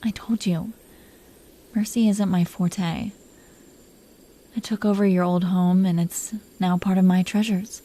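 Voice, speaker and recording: A woman playing an affectionate, possessive dragon queen in an intimate romantic roleplay audio, speaking softly and haltingly with a playful, teasing manner. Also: fairly low pitch, steady tone. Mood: possessive, softly menacing.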